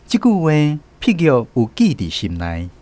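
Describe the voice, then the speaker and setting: A man's voice: medium pitch, wildly swooping pitch, normal speed, darkish tone, dry recording. A man with a Taiwanese accent reading scripted literary narration as the narrator of a radio drama.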